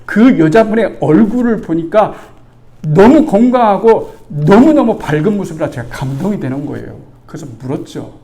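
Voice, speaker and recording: A gravelly, mellow-voiced man in his sixties preaches as a pastor. He tells a personal story in a chatty way, acting out the dialogue and turning to the congregation for agreement. His voice sits somewhat high for a man. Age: 60s. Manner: conversational, asks the congregation for agreement; animated storytelling, acts out the dialogue. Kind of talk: storytelling sermon.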